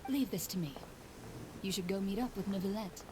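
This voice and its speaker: A woman plays a composed, obliging woman who speaks calmly and evenly. Her voice sits at a middle pitch under noticeable stream noise. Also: a little fast, natural voice.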